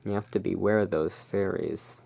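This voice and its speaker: An ASMR host in a gothic shopkeeper roleplay, musing in an unhurried, gently whimsical way while commenting on cards. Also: low voice, steady tone, creaky. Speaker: host playing a gothic curiosity shop owner.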